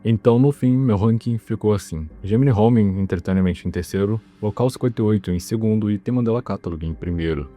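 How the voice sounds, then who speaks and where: A Brazilian man hosting a video essay, narrating from a script in a measured, explanatory way and giving his opinions. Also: on the low side, dark tone. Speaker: video host presenting a ranking. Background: background music.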